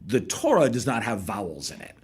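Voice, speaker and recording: A man's voice: fairly low pitch, huge pitch swings, fairly quick, slightly rough. A man in his forties from the United States, a podcast guest telling a long story in a chatty, digressive way and laughing at his own asides. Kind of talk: casual storytelling conversation.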